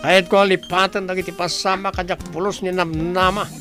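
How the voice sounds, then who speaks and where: A man's voice: sits high, level tone, fairly quick, pushed and bright, soft background music. A man with a northern Philippine accent acting a jealous, grumbling young villager in a comedy radio drama. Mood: aggrieved.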